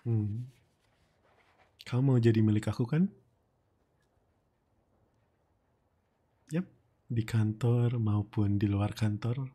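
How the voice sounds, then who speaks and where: Man playing a breathy, mellow, flirtatious boss. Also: on the low side. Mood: seductive, slow.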